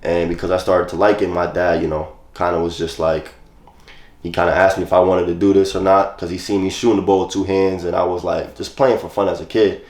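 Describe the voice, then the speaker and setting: A man's voice: low-pitched, fairly quick, roomy sound. A young man, chatting loosely about his past as an interview guest. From New York. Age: 20s. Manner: relaxed, loose phrasing with many "like" fillers. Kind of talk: casual interview.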